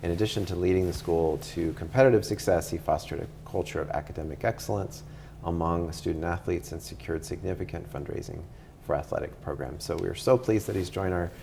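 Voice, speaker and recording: Campus show host, man, low dark voice, friendly and halting. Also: gravelly, a little hiss or hum. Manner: friendly, halting with many ums. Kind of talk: casual interview chat.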